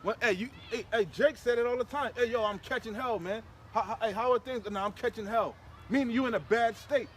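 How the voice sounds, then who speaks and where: A high-voiced man delivers fiery, informal preaching on scripture. He is emphatic and slangy, often repeating himself for force, with traffic noise around him outdoors. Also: brisk, a bit bright. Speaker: street preacher leading a scripture discussion.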